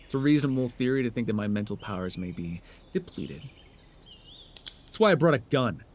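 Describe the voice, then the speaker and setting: A man's voice: mid-range voice, expressive, brisk, slight background noise, natural voice. A man playing a sardonic, telepathic supervillain in a dramatic audio roleplay, with a theatrical, taunting way of talking. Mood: threatening, wary. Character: sardonic male telepathic supervillain, menacing yet curious. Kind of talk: dramatic ASMR roleplay acting.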